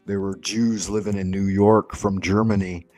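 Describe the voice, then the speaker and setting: A man's voice: low-pitched, little pitch movement, moderate pace, darkish tone, faint music behind. A man hosting a stream, rambling in casual chat and swearing freely. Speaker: streamer host.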